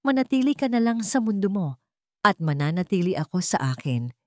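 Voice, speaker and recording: A woman's voice: mid-range voice, a little fast, no room sound, studio quality, lightly acted. A woman voicing an icy, wounded female chief executive in an audio romance, guarded, with a Filipino accent. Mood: cold, lifeless. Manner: flat, guarded.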